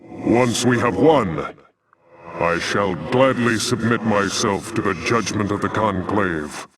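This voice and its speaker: Deep-voiced, noble alien warrior. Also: male voice, takes it slowly, recorded from a distance, background noise. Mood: earnest, resolute. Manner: solemn, dignified, formal. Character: noble, defiant alien templar commander, male warrior. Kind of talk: video game voice acting.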